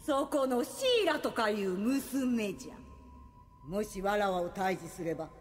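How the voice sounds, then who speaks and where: A woman's voice: mid-range voice, lively intonation, measured pace, natural voice. A woman playing an imperious, gloating witch villain in an anime audio drama, with old-fashioned regal diction. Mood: smug, taunting. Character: haughty female witch villain, archaic imperious speech. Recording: loud music under the voice.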